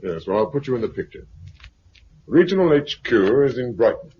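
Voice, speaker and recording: An actor with a British accent playing a smooth, officious, condescending self-appointed commander in a 1950s radio drama. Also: male voice, mid-range voice, big pitch swings, normal speed, natural voice. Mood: coolly authoritative. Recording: muffled, hissy 1950s radio recording.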